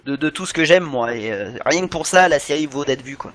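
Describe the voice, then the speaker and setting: A man's voice: on the high side, lively intonation, a little fast, a bit bright. A middle-aged man chatting casually and enthusiastically as a podcast co-host, often searching for his words. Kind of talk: casual animation fan chat.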